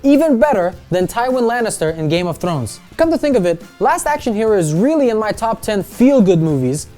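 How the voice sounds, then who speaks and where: A woman's voice: mid-range voice, very animated, a little fast, warm tone, soft background music. A woman presenting a scripted countdown list with chatty, opinionated pop-culture commentary.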